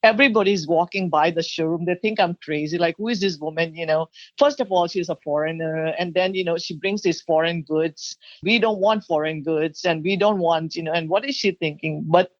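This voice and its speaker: A mature woman from Thailand telling her own story as an interview guest, animated and expressive. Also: fairly low pitch, a little fast, hardly any pauses, dry recording. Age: middle-aged or older. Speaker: guest, bridal designer. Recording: dull, lo-fi sound.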